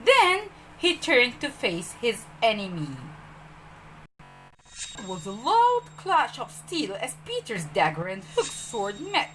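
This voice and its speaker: Female narrator for children's tales, fairly high voice, hugely varied intonation, dramatic and deliberate. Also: slight background noise, rough recording. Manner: dramatic, expressive emphasis. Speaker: storyteller, teacher. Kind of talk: scripted children's storybook narration.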